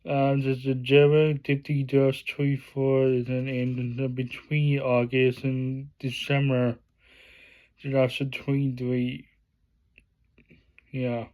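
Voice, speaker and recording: Slow, flat-voiced man vlogging. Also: mid-range voice, low-quality recording. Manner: halting, many fillers and restarts; plain enthusiastic praise in a flat delivery. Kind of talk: casual unboxing vlog.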